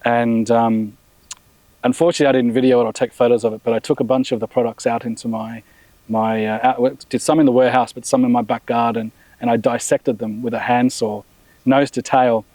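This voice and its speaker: A middle-aged man, a brand founder, chatting in a relaxed interview with many restarts and fillers. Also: medium pitch, fairly quick, dry recording, low-quality recording. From Australia. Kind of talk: casual interview.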